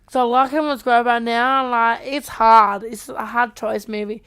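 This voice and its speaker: A woman's voice: fairly high pitch, level tone, measured pace, a bit bright, smooth. A woman co-hosting a podcast in casual chat, informal and blunt, often repeating her phrases. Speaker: podcast co-host.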